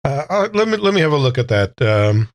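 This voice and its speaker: A man's voice: mid-range voice, big pitch swings, brisk, no echo. A man casually playing a tabletop roleplaying game, thinking aloud in a tentative way.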